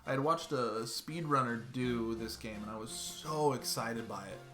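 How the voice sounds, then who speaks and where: A man's voice: mid-range voice, moderate pace, sharp tone, soft background music. A man in his thirties streaming a game from home, chatting loosely and swearing casually as he plays. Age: 30s. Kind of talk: casual let's-play commentary. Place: home room.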